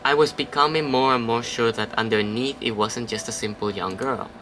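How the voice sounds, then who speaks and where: A man's voice: mid-range voice, moderate pace, fairly bright tone, a little hiss or hum. A man casually playing a story game, reading its narration aloud and chatting between lines, now and then swearing. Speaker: let's play host.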